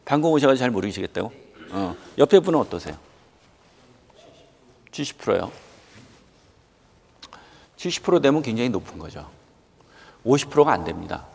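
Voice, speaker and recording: Animated man in his fifties, reverberant hall. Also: medium pitch, gravelly, slightly rough. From South Korea. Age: 50s. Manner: emphatic, questioning the listeners directly, repeating key points. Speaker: guest lecturer, company executive. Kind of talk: motivational lecture to students.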